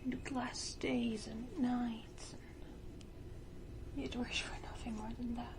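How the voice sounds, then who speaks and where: A woman voicing a menacing, tenderly cruel vampire matriarch in a slow, breathy, theatrical whisper for an ASMR roleplay. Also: a little high, little pitch movement, noisy background. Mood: ominous pity.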